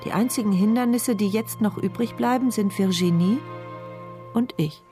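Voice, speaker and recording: Give the radio drama a woman narrator played by an actress, paralysed and watchful, telling her story from the inside. Her pitch sits in a middle range. She carries a tense, vigilant temper, with music behind her. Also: moderate pace, mellow, lightly acted. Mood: tense, bitter. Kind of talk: radio play first-person narration.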